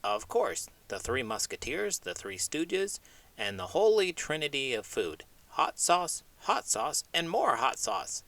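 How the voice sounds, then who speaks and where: A man's voice: on the high side, big pitch swings, unhurried, a bit bright, voice pushed up, exaggerated voice. Man putting on a cheeky, swaggering pet pig. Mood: boastful, playful. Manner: wisecracking, boastful. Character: cheeky hot-sauce-obsessed pet pig, brashly confident. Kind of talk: children's read-aloud comic skit.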